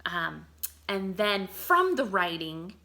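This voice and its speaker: A woman teaching through a read-aloud, with expressive delivery, explanatory asides and check-in questions. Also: medium pitch, takes it slowly. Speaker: teacher reading poems aloud to children.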